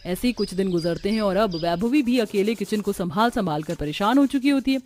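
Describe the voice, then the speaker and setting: A woman giving scripted narration for an animated family story. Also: medium pitch, fairly quick.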